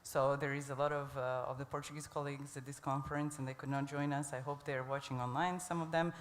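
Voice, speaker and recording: Man, conference moderator, mid pitch, brisk and informal, hall setting. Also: little pitch movement, slight background noise. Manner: brisk, informal, uses fillers. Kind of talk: moderating a forum.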